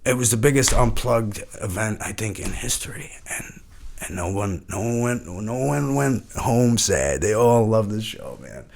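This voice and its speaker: Man playing a gravelly, rambling washed-up rock star. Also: lowish voice, expressive, darkish tone. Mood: wistful. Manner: rambling, repeats himself, halting, sweary. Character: washed-up eighties male rock frontman, rambling, nostalgic, grandiose. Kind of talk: acted mock podcast interview.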